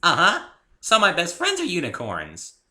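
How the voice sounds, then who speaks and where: A man's voice: high-pitched, huge pitch swings, normal speed, sharp tone, voice pushed up, full character voice. A man voicing a bubbly young female cartoon pony, friendly, scatterbrained and sweetly rambling, in a storybook reading. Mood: sweet, bright.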